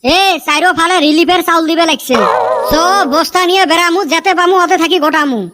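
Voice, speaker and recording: A sly cartoon villager, voiced by a woman, teases and schemes in a Rangpur dialect. The voice sits very high, and a steady hiss runs under a homemade recording. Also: quick pace. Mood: teasing. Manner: teasing, scheming chatter. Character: sly male village friend, scheming and teasing.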